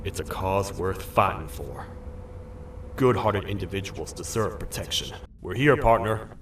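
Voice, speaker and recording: A man voicing a stern, weary cartoon toad sheriff in a video game, with a grave, formal, deliberate delivery. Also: sits low, animated, noisy background. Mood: grim, watchful. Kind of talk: video game character acting, log narration.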